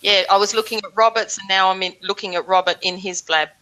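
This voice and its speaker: An Australian woman thinking aloud on a video call, full of quick exclamations. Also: mid-range voice, steady tone, sharp tone, rough recording. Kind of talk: casual chat.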